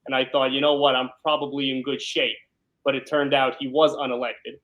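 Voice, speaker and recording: A man's voice: medium pitch, level tone, a little fast, lo-fi sound. New man explaining in conversation. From born in Ukraine, grew up in South Brooklyn, New York. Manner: talkative, explanatory, often checks with "right?". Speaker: criminal defense lawyer, interview guest. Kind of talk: conversational interview answers.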